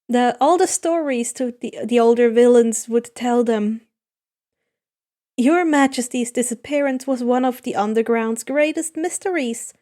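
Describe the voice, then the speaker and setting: A woman narrates a piece of fan fiction. Her voice is high in pitch, and she reads the scripted prose as a storyteller. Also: a little mellow.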